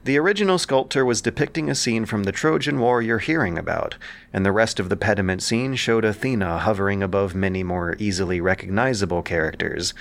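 A man narrating scripted commentary as a podcast host, with measured, literary and dramatic phrasing. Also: sits low, a bit bright. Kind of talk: scripted commentary on ancient epic and history.

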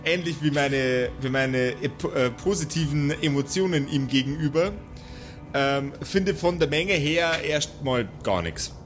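A man with a fairly high voice runs an improvised tabletop game as its game master. He narrates in mock-formal storyteller phrases. Music plays clearly underneath him. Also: unhurried. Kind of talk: live improvised tabletop role-play narration. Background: prominent background music.

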